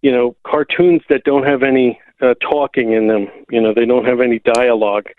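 A man's voice: medium pitch, little pitch movement, no echo. Easygoing male caller on phone line. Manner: easygoing, halting. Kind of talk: call-in radio anecdote.

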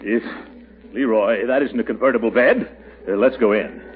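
An actor playing a pompous, wry middle-aged uncle with a husky, blustery voice, in 1940s radio comedy style. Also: male voice, medium pitch, natural voice. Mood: genial, wry. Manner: blustery, drawn-out "yes", wry asides. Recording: muffled, scratchy 1940s radio.

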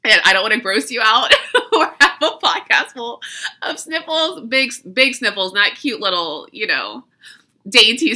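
A woman talks through a stuffy, allergy-clogged nose as she hosts her podcast. She is chatty and quick to laugh at herself, with a very bright, forward tone. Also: on the high side. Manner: chatty, laughs often, self-deprecating. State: congested from allergies. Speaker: podcast host. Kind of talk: casual chat.

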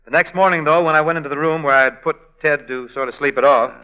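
A man's voice: fairly high pitch, quick pace, clear, resonant tone, lightly acted. A man playing a folksy easygoing innkeeper. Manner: folksy storyteller, relaxed and jokey. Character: easygoing male innkeeper and singer. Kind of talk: 1940s radio musical. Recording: dull lo-fi 1940s radio recording with orchestra.

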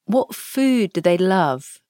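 A woman guides a meditation at a very slow, unhurried pace, leaving long pauses between gentle prompts. Her voice sits at a middle pitch and is recorded close and dry, with no room sound around it. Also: big pitch swings, dry recording. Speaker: podcast host guiding a practice.

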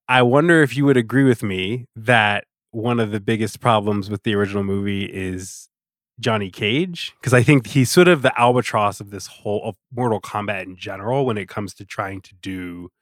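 A man with a fairly low voice gives opinions as a podcast guest. His talk is casual and conversational, often checking that the listener follows. The recording is dry and close. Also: dry recording.

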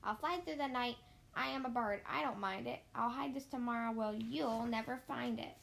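A woman's voice: on the high side, moderate pace, creaky, fairly bright tone. Storybook villain female reader: mighty male eagle, scornful, curt, boastful, faint noise behind.

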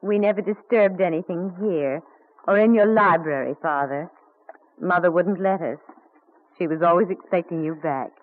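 Actress as tender, devoted daughter, muffled old radio. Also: female voice, fairly low pitch, normal speed, close to an everyday voice. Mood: tender, solicitous. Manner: tender, solicitous, admiring. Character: devoted grown daughter, tender, admiring, attentive mother. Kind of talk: old-time radio drama acting. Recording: muffled, noisy vintage radio recording.

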